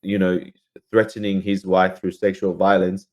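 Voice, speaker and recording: Low-pitched man, slangy casual talker. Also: monotone, moderate pace. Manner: slangy, peppered with "bro" and "man". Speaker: podcast co-host. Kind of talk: casual chat.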